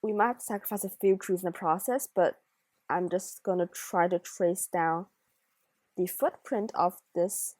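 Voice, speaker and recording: A woman's voice: on the low side, normal speed, darkish tone, no echo. A young woman whose first language is Chinese, chatting casually as she builds in a game, thinking aloud and correcting herself. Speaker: Minecraft builder and narrator. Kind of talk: casual gameplay commentary.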